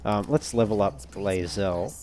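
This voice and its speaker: A man giving casual let's play commentary, thinking aloud in a measured, understated way and often reading game text out. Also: on the low side, a little mellow, a little hiss or hum. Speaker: gamer narrating a playthrough.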